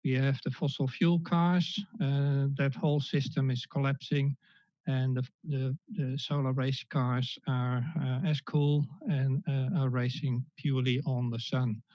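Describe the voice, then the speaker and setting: A man in his fifties from the Netherlands with a Dutch accent, giving an online presentation with many pauses, fillers and restarts. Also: mid-range voice, little pitch movement, mellow, no room sound. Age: 50s. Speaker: guest speaker. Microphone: video call. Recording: dull lo-fi call audio.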